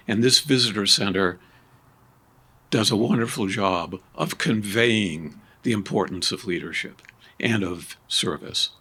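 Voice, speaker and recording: An older man from Maine, a former cadet, giving formal remarks at a ceremony, measured and stressing key words with rising emphasis. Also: medium pitch, pushed and bright.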